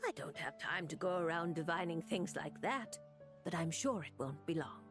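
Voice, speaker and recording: Exasperated female fortune-teller for a game, woman actor. Dry, scholarly, brusque, lively inflection over soft music. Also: lowish voice, moderate pace, lightly acted. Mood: dry, assured. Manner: dry, fussy, grumbling. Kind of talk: video game voice acting. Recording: music behind the voice.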